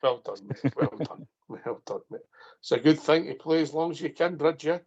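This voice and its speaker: A man's voice: on the high side, animated, normal speed, darkish tone, husky. A man hosting a fan radio phone-in, teasing his guests and laughing often. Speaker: host. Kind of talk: casual phone-in chat. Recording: muffled phone-in line.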